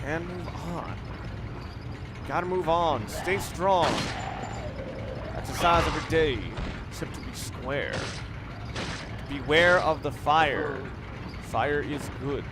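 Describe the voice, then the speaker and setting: A man giving off-the-cuff, rambling walkthrough commentary on a video game, instructive and often correcting himself. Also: a little high. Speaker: gamer giving a let's play commentary. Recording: noisy, game gunfire behind. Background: video game ambience and gunfire.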